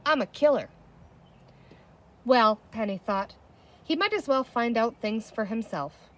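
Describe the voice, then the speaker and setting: An expressive woman reads a children's story aloud. Her voice is high and moves with lively, wide intonation as she narrates. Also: measured pace. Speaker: audiobook reader.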